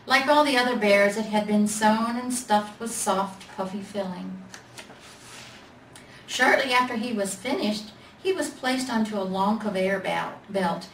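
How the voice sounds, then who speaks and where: A woman's voice: medium pitch, unhurried. Woman storyteller in an echoey room. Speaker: storyteller in character as Mrs. Claus. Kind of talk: children's storybook read aloud. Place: library event room. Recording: echoey room, distant mic, faint noise.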